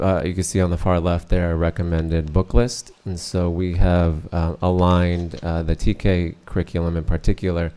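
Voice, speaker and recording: Middle-aged man, deep and mellow, monotone, hesitant; presenting at a board meeting. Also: clean tone. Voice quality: monotone, many uh fillers. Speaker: school district presenter.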